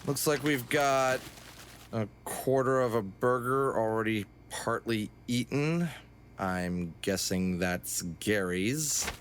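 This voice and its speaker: A man's voice: mid-range voice, slow pace, slight background noise, close to an everyday voice. A man playing a wry, exasperated young gang leader with dry sarcasm in a comic audio drama. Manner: hesitant asides, dry sarcasm.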